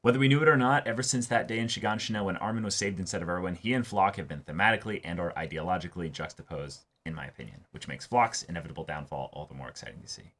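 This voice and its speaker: A man's voice: deep voice, very fast, few pauses, a bit bright. A man hosting an anime reaction show, reading viewer comments aloud and discussing them casually with emphatic delivery.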